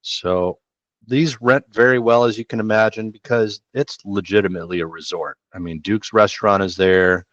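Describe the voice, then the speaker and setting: A man's voice: on the low side, normal speed, dry recording. A middle-aged man hosting a real estate show, chatting casually and slangily through listings on a headset from a small room. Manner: laid-back, slangy, chatty. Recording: dull dry home recording.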